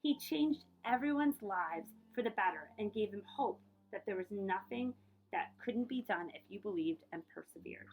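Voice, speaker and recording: A woman librarian reading aloud for children in an expressive storytelling way, speaking directly to her young listeners. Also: mid-range voice, a little hiss or hum, low-quality recording.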